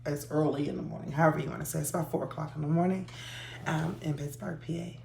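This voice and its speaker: A hoarse woman giving a relaxed, chatty tarot reading to her viewers, with frequent ums. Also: low voice, fast talker, roomy sound, noisy background. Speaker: tarot reader.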